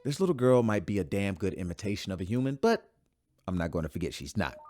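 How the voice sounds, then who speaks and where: A man's voice: low voice, very animated, quick pace, a little mellow, soft background music. An actor playing a bitter man who still grieves a lost sister, talking heatedly in an audio drama. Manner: heated, emphatic.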